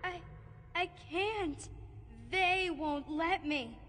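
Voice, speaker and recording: A woman voicing a timid, frightened small boy who speaks haltingly in a dramatized audiobook. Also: very high-pitched, fairly bright tone, a little hiss or hum, exaggerated voice. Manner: fearful, halting. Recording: echoing hall, music underneath.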